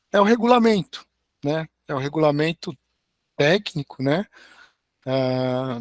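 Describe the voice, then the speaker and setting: Prof, kinda hoarse voice, friendly, says né a lot, dry video call audio. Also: male voice, a little high, no room sound. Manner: friendly, tags sentences with "né?". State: hoarse, recovering from a sore throat. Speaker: engineering professor, guest lecturer. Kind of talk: online lecture with slides.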